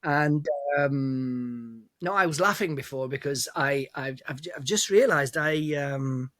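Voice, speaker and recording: A man's voice: medium pitch, slow pace, a bit bright, dry recording. Raised man chatting, hesitant. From Italy. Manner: hesitant, many restarts and fillers, laughs often. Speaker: guest DJ and producer. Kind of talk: casual interview chat.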